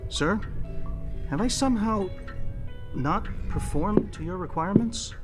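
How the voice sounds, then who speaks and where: An actor playing an earnest young junior military officer in a radio drama, formal and deferential. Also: male voice, sits high, expressive, unhurried, over loud music. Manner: formal, respectful.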